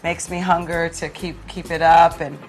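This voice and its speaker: A woman's voice: fairly low pitch, little pitch movement, moderate pace, faint music behind, poor audio. A woman talking conversationally as a guest in an interview. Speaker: guest, play producer.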